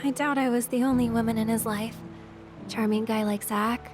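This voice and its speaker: A woman voicing a candid, wistful young woman in a video game, talking in a soft, conversational way. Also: on the high side, fairly even intonation, moderate pace, over music, natural voice. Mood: polite, light. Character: candid, warm-hearted young woman, wistful and gently teasing. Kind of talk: English-language video game voice acting.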